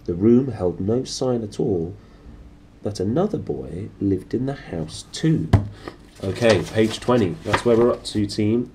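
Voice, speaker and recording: Male storyteller, very low and mellow, informal and playful, some background noise. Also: lively intonation, a little slow. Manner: informal, playful, addressing listeners as a team. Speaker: reader, host of a read-aloud series. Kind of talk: lively storybook narration with informal asides.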